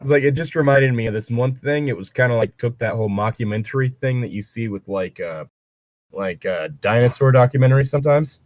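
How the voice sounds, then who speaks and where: A man's voice: mid-range voice, brisk, a little mellow, dry recording. A man reading fan fiction aloud with casual, joking banter and digressive commentary. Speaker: co-reader and commentator.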